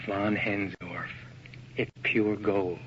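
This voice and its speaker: Breezy wisecracking assistant, muffled recording. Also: male voice, fairly low pitch, little pitch movement, takes it slowly, a bit bright. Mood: enthusiastic, informative. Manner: hip, wisecracking, slangy. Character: breezy, enthusiastic young male photographer's assistant. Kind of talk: radio drama acting. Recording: noisy, dull old radio recording.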